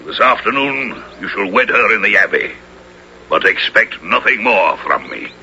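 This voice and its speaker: An actor playing a cold, imperious medieval lord in a radio drama, commanding in archaic diction. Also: male voice, fairly low pitch, sing-song, moderate pace. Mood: cold displeasure. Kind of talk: 1970s radio drama acting. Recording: dull radio recording, faint noise.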